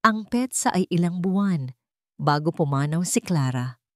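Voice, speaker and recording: A woman reading a scripted, dramatic love story in a measured, solemn storytelling manner. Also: lowish voice, lively intonation, dry recording, clean studio sound. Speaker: story narrator.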